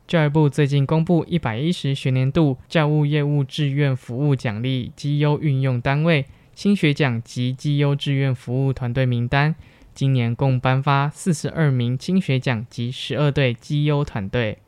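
A man's voice: mid-range voice, steady tone, normal speed, a little mellow, clean tone, no echo. A young man with a Taiwanese Mandarin accent reading scripted news as a student anchor. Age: young adult.

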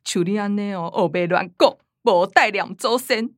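A woman's voice: medium pitch, moderate pace, dry recording, clean studio sound, lightly acted. A woman playing a sassy, righteous younger daughter in a radio drama, complaining and mock-scolding theatrically with a Taiwanese accent.

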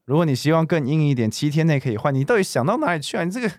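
A man's voice: on the high side, big pitch swings, very fast, no room sound, clean studio sound. A young man acting in a radio drama as a teasing, earnest man in his forties courting an old friend. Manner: teasing, persuasive.

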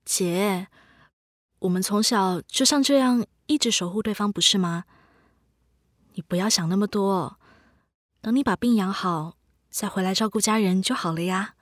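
An actress playing a devoted, anxious younger sister in a radio drama, speaking earnestly. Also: female voice, medium pitch, normal speed, pauses often, no room sound, studio quality, close to an everyday voice. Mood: worried, reassuring. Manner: earnest, pleading.

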